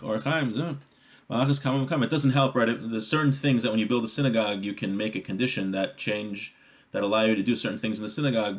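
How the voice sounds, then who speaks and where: Man teaching a religious text, conversationally. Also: fairly low pitch. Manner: explanatory, conversational, checking with "right?". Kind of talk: Torah lesson, reading Hebrew text and explaining in English.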